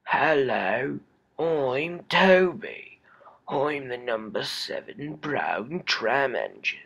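Man doing an old cartoon tram, plain-spoken, very slow, swooping pitch, husky. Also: animated, a bit bright, highs cut off, full character voice. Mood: steady. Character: steady old brown tram engine, male.

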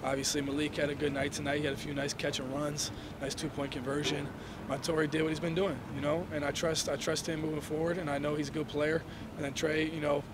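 A young man, a college quarterback, answering reporters' questions after a game, measured and full of "you know"s, into handheld mics indoors. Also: fairly high pitch, level tone, background noise. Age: young adult. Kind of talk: post-game press interview. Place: indoor hallway before a sponsor backdrop. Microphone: handheld reporter mics and phones held toward him.

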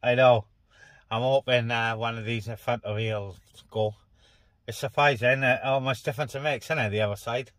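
A man's voice: mid-range voice, fairly even intonation, a little fast, a bit bright, dry recording. A man with a British accent talking casually as a vlogger and CB radio operator. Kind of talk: casual radio chat and vlog talk. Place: parked car on an open moorland car park. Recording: faint background noise.

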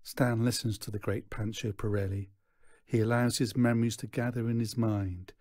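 A man's voice: fairly low pitch, steady tone, normal speed, darkish tone, no room sound, studio quality. A man reading a children's novel aloud as scripted narration. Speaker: story reader.

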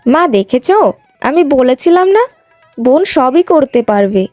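A woman's voice: sits high, big pitch swings, measured pace, a little mellow, no room sound, soft background music. A woman voicing a kind, caring young sister-in-law in a cartoon folk tale. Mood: proud.

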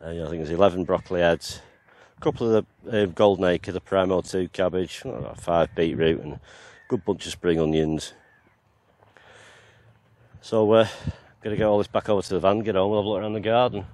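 A man with a very deep, low voice talks his way around his allotment and garden. His delivery is relaxed and rambling, with plenty of ums and you-knows. He is outdoors, filming on a handheld camera. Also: brisk. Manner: relaxed, rambling, lots of fillers. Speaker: gardening vlogger. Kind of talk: casual walk-around garden tour.

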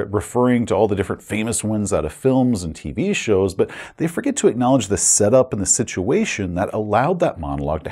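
A man's voice: mid-range voice, husky. An American man in his forties giving casual, emphatic commentary to camera as a game master. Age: 40s. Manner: animated, conversational, emphatic. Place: small room at home, indoors.

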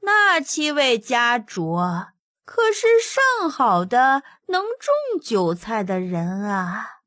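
A woman plays a little boy emperor in an audiobook, babyish and high. He speaks very slowly and knowingly, sly and sure of himself, close and dry over faint music. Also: wildly swooping pitch, fairly bright tone, dry recording, heavily acted. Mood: sly, self-assured. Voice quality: childish, babyish voice.

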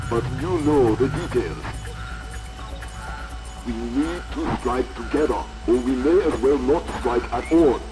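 An actor playing a scheming, impatient general in a spy video game, with measured, menacing speech. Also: male voice, mid-range voice, poor audio. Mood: conspiratorial.

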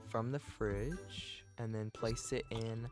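A man's voice: medium pitch, steady tone, slow, natural voice. A man playing an upbeat, bossy parent who chats and gives instructions in a casual online game roleplay. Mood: instructing. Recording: music behind the voice.

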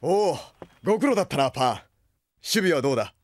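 A man's voice: fairly high pitch, big pitch swings, fast talker. A man playing an authoritative, reserved young prince and commander in an anime audio drama. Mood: commanding.

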